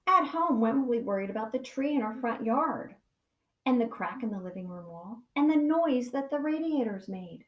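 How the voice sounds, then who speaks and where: Woman, preschool teacher, storybook read-aloud, expressive swoops, dramatic emphasis, echoey room. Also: a little high, dull audio. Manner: animated, slow dramatic emphasis, pauses to ask questions. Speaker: pre-K teacher.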